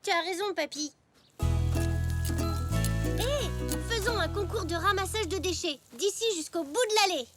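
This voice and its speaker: An eager young zebra boy in a French children's cartoon. The voice is very high and childlike, with a cheerful temper. Prominent music runs underneath. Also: moderate pace, pauses often. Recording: music behind the voice.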